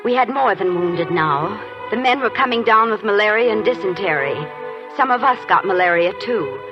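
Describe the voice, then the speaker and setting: Woman voicing a tough wry army nurse, dry teasing delivery, lively pitch, music behind, muffled 1940s radio play. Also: moderate pace, close to an everyday voice.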